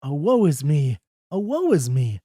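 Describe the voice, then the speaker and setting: A reader voicing a distraught older mother, pleading and wailing in high pitch. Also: big pitch swings, measured pace, warm tone, no room sound, clean studio sound.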